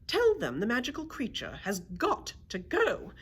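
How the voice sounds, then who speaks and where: A woman's voice: on the high side, huge pitch swings, unhurried, husky, voice pushed up, full character voice. A woman voicing a haughty, bossy mayor's wife in a children's storybook, commanding and insistent. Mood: bossy, demanding. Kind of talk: children's storybook read-aloud.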